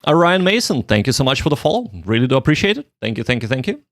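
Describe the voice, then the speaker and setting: A man's voice: mid-range voice, sing-song, quick pace, fairly bright tone, dry recording, clean studio sound. A man livestreaming in a casual, somewhat hesitant way, often repeating his words as he goes. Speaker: livestreamer studying Japanese. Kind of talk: casual livestream chat with Japanese reading practice.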